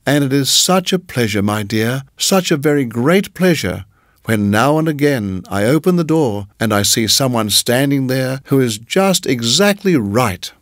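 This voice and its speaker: A man's voice: medium pitch, lively intonation, unhurried, no room sound, natural voice. A syrupy, fussy middle-aged landlady, as a male storyteller raises his voice for her. She coos every line in a lilting, overly pleasant way that never quite settles. The sound is dry and close. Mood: cooing sweetness. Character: sweetly unsettling middle-aged landlady woman.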